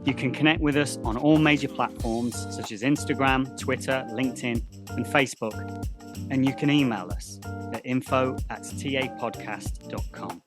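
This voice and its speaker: A man's voice: medium pitch, normal speed, over music. A man co-hosting a podcast, reflecting earnestly and unhurriedly and then reading the show's outro. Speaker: podcast co-host. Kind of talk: casual reflection and outro announcement.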